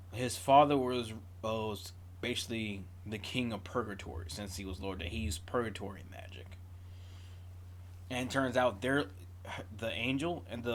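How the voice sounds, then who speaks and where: A man's voice: fairly low pitch, slight background noise. A man giving casual, off-the-cuff reaction commentary as a video host, drifting into digressive storytelling.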